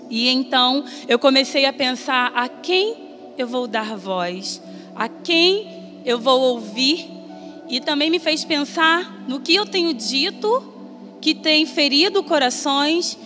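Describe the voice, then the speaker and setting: A woman preacher with a Brazilian Portuguese accent, reading scripture and giving an earnest, measured sermon that rises in emphasis. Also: sits high. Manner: earnest, measured, rising emphasis on quoted voices. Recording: long reverb, soft music behind.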